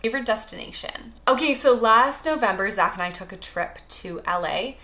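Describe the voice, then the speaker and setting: A woman's voice: mid-range voice, lively intonation, normal speed, pushed and bright. A young adult woman with a Canadian accent, chatting casually and emphatically to her viewers as a vlogger, often trailing off at the ends of phrases. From Toronto, Canada. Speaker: vlogger introducing herself. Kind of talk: casual chat to camera, get-to-know-me answers.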